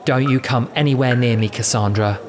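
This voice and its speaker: A man's voice: medium pitch, brisk, noisy background, pitched above the performer's own voice, close to an everyday voice. A man voicing a blunt, defiant young woman adventurer in an audio story.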